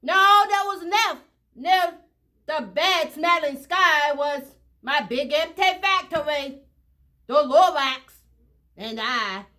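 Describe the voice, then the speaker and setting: A woman's voice: high-pitched, slow, pushed and bright. A woman reading a picture book aloud to children, expressively and in short dramatic phrases, often speaking to them directly.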